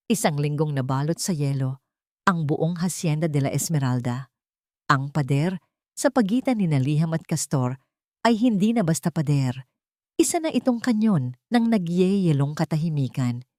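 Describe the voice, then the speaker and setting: A woman narrating a scripted drama story in an expressive, suspenseful way. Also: low voice, moderate pace, no echo, studio quality.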